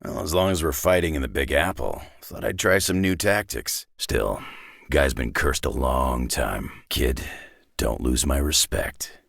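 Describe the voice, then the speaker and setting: An actor playing a gruff, battle-worn older mutant brawler in a video game, with a deep gravelly rasp and a terse, sardonic, folksy tough-guy delivery. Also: a little slow, fairly bright tone, dry recording, clean studio sound, exaggerated voice. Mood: dry, gruff. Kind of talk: video game character voice lines.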